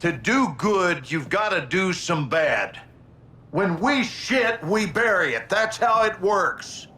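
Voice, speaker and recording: An actor playing a stern, self-righteous general in a military game, measured and authoritative. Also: male voice, high voice, animated, bright, forceful tone, slightly rough, heavily acted. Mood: stern, insistent. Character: stern, defiant, self-righteous older male American general. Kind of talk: dramatic game acting.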